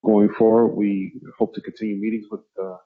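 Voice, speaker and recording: A man, a school safety director, speaking softly and calmly in a presentation to a board. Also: fairly low pitch, brisk, narrow, tinny audio. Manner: soft-spoken and calm.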